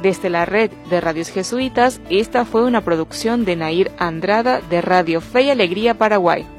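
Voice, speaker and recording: A woman reading a scripted radio feature narration with a clear, composed delivery. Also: medium pitch, moderate pace, soft background music.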